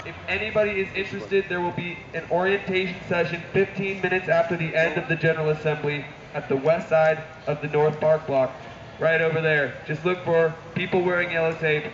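A man's voice: a little high, noisy background. A man from the police liaisons announcing a call for volunteers at an outdoor assembly. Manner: plain, repeats the announcement. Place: outdoor city park assembly. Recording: dull, echoey outdoor camera recording.

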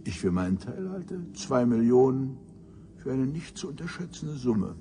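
An actor playing a cynical, bitter old professor in a science fiction radio drama, pompous and sardonic in elaborate phrasing. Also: male voice, mid-range voice, very animated, moderate pace, dark tone, slight background noise, close to an everyday voice. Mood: bitterly sardonic.

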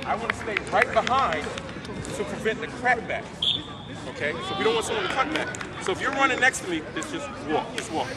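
A man's voice: sits high, very animated, brisk. A man coaching football on an outdoor field, giving short commands and walking players through technique, heard from the camera at a distance. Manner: short barked commands, then explaining technique. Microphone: camera from far off. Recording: distant outdoor voice over crowd and noise.

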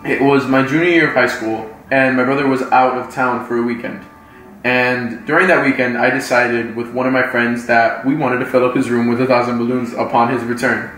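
A man's voice: medium pitch, fairly even intonation, brisk, fairly bright tone, echoey room, a little hiss or hum, low-quality recording. A young man from South Florida, a vlog host, telling a story to camera in a casual, chatty way. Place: living room with bookshelves.

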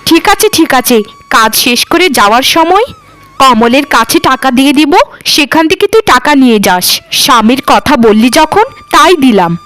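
A proud, scornful rich lady barks orders at her servants in a high, piercing voice, as a woman acts her out. She looks down on the poor and makes it known in every word of this cartoon. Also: lively intonation, normal speed, a bit bright. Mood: haughty. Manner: scornful, commanding. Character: haughty rich mistress, later sick and humbled. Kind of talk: cartoon voice acting.

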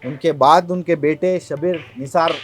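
A man's voice: a little high, steady tone, measured pace, soft background music. A man with a Hyderabadi accent explaining his craft casually in an interview, often circling back over his points. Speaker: tabla maker and shopkeeper, interviewee.

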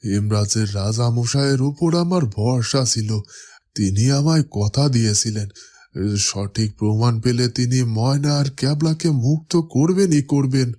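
A man's voice: mid-range voice, normal speed, dark tone, lightly acted. A man playing a kindly, middle-aged village father in a cartoon. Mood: relieved, approving. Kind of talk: cartoon voice acting.

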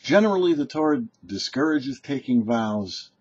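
An older man, a rabbi in his sixties, giving a short, deliberate religious lesson in an explanatory, didactic way. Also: mid-range voice, very animated, a little mellow, breathy edge. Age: older, about 60s. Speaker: rabbi hosting a weekly Torah portion show. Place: indoor home office or study. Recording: muffled, dull webcam audio.

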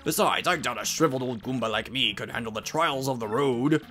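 A man voicing a shriveled old Goomba professor, an excitable, fussy scholar who lectures and exclaims, in a game fan dub. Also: mid-range voice, a little fast, faint music behind, natural voice. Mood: earnest.

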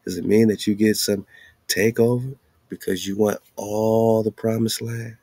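A man's voice: on the low side, flat delivery, measured pace, dark tone, no echo. A man giving an informal, slangy commentary, asking rhetorical questions and repeating phrases for emphasis. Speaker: host. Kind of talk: informal commentary monologue over on-screen maps and documents.

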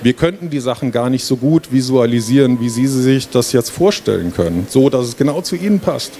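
A man's voice: medium pitch, lively intonation, fairly quick, mellow. A middle-aged man giving an informal, explanatory presentation into a handheld microphone on a stage. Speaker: game developer presenting. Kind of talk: presentation to an audience. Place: stage at a book fair hall. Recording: clear background noise, fair ambience. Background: trade fair hall ambience.

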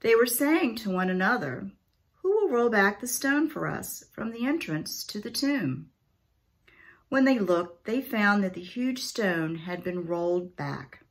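Slow, deliberate woman reading scripture. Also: mid-range voice, animated. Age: middle-aged. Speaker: scripture reader. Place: small room at home.

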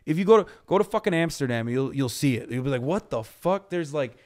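A man in his thirties from Turkey, a podcast guest ranting about politics in a blunt, profane way in a studio on a boom mic. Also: lively intonation. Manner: blunt, profane, rapid explaining. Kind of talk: heated political chat. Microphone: boom mic on stand.

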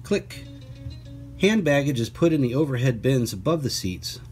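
A man's voice: mid-range voice, big pitch swings. Man reading to children, music behind. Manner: clear, deliberate reading with playful questions to young listeners. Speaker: teacher reading a picture book aloud. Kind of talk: children's read-aloud with chatty asides.

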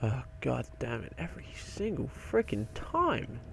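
Young man with a croaky, gravelly voice. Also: medium pitch, huge pitch swings, a little slow, slight background noise. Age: young adult. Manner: rambling, swears often, mutters to himself. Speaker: game video maker. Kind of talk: casual gameplay commentary.

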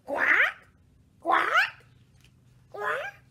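A woman voicing a noisy, excitable duck from a picture book, with squawking, exclamatory quacks. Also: extremely high pitch, extremely slow, voice pushed up, exaggerated voice. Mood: noisy, excited. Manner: exclamatory, blurting.

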